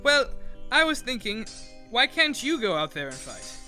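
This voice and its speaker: A man's voice: very high-pitched, very animated, normal speed, bright, forceful tone, faint music behind, full character voice. A man playing a dutiful, earnest army aide in a sci-fi audio drama. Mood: worried.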